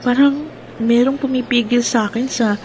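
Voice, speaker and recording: Brief: actress as a young woman, hesitant and soft-spoken, slightly high voice. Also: steady tone, moderate pace, a little hiss or hum, lightly acted. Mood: uncertain. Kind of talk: radio drama acting.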